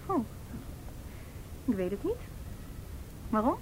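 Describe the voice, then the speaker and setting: A defiant, educated young woman in a radio play, with a high voice. She is sharp-tongued and mocking, leaning on words with sarcasm. Faint noise sits behind her. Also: big pitch swings, slow pace, rough recording. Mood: guarded banter. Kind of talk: naturalistic radio drama acting.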